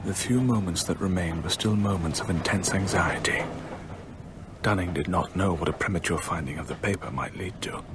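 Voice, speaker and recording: An actor playing a British storyteller, narrating a ghost story in a measured, suspenseful radio drama style. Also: male voice, deep voice, noisy background, natural voice.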